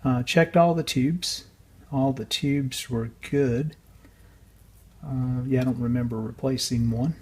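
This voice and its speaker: A man's voice: medium pitch, slow pace, a little mellow, husky. A man giving a casual, unscripted workbench walkthrough in a hesitant, matter-of-fact way. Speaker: radio restorer and video host.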